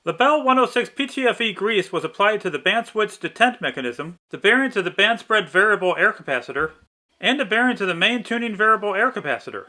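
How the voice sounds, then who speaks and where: A man's voice: sits high, expressive, quick pace, bright, forceful tone. A man reading a scripted step-by-step restoration narration in precise, methodical phrasing. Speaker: narrator of a radio restoration video.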